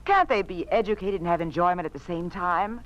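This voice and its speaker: An actress playing an indignant, outspoken women's-page editor in a 1950s radio drama, emphatic and articulate in protest. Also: female voice, lowish voice, brisk, lightly acted. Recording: muffled old radio recording.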